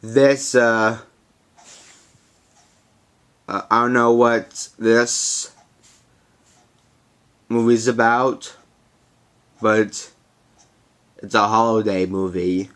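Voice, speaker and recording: A man giving a casual, offhand spoken introduction in a halting way. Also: on the low side, fairly even intonation, fairly bright tone, clean tone. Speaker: uploader introducing the recording.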